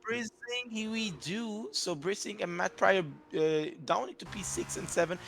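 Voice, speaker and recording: A man's voice: fairly high pitch, gravelly, fairly bright tone, a little hiss or hum. Hesitant race co-commentator, man. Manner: hesitant, many uh fillers, searches for words. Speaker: sim racing co-commentator.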